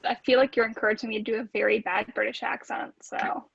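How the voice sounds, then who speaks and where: A woman's voice: on the high side, flat delivery, quick pace, creaky, a bit bright, slightly rough, dry recording. A woman chatting casually as a cast member on a group call, with dull headset audio. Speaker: voice actor in a podcast cast. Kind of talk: casual chat. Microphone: basic earbuds or headset on a group call.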